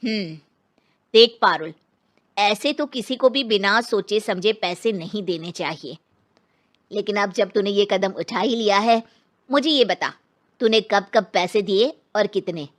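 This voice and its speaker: A woman's voice: mid-range voice, unhurried, natural voice. A woman playing a frank, practical lawyer friend in a dramatised story. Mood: firm, advising.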